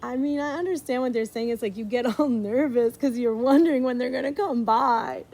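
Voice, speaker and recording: A middle-aged woman reading a picture book aloud to a child, playfully animated, with dramatic pauses and chatty asides. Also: fairly high pitch. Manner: playful, dramatic pauses before key words.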